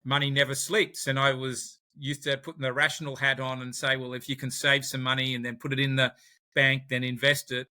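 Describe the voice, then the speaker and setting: A man's voice: mid-range voice, little pitch movement, brisk, pushed and bright. An Australian man, a podcast guest, talking in a conversational interview and often repeating words as he searches for his phrasing.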